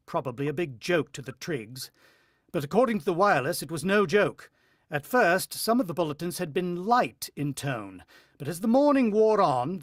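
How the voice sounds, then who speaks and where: Actor as grave, anxious father, high-pitched and animated. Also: dry recording, studio quality, natural voice. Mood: grave, brooding. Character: worried, watchful country father and husband, haunted narrator. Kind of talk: vintage radio drama acting, first-person narration.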